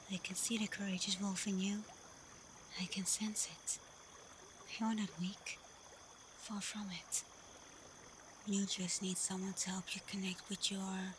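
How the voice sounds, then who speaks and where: Casting: actress for female werewolf pack leader, ASMR roleplay; unhurried, hushed, assured, warmly teasing and protective, mid-pitched. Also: noisy background, close to an everyday voice. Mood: persuasive, encouraging. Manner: soft, breathy, often whispered, unhurried. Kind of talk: slow ASMR roleplay addressed to the listener.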